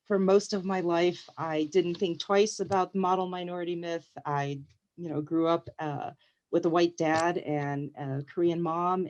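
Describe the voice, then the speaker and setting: A woman's voice: sits low, a little mellow, dull audio. A woman professor speaking on a panel over a video call, friendly and reflective with some fillers. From United States. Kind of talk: panel discussion.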